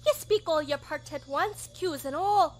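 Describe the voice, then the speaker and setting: Casting: a woman as bossy amateur director in a radio comedy, high voice, slow emphatic delivery, exasperated. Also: a little hiss or hum. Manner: emphatic, instructing. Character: exasperated, bossy amateur play director correcting a hapless actor. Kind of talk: Shakespearean comedy radio play.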